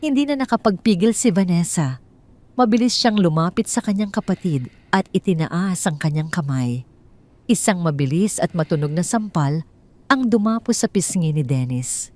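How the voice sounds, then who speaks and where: A woman with a Filipino accent narrating a scripted dramatic story in a measured, formal storytelling manner. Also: fairly low pitch, expressive, a little mellow.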